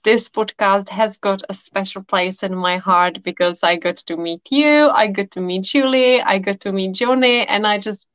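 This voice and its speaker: Woman guest, unhurried and heartfelt, on a dry close recording. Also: mid-range voice, fairly bright tone, clear, resonant tone, no echo. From Czech Republic. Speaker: podcast guest. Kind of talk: warm storytelling chat. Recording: dull dry lo-fi audio.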